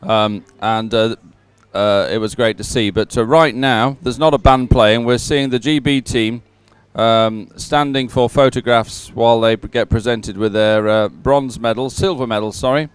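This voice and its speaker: Clear, dry-sounding male sports host. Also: mid-range voice, fairly even intonation, normal speed, few pauses, no echo. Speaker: host and commentator. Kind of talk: casual live sports studio chat and commentary.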